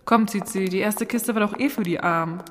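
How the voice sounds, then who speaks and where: A woman's voice: mid-range voice, fairly quick, natural voice. Woman playing a sardonic heroine in reverb. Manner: sarcastic, colloquial. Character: sardonic, outspoken feminist woman adventurer. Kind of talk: fairy-tale audio drama acting.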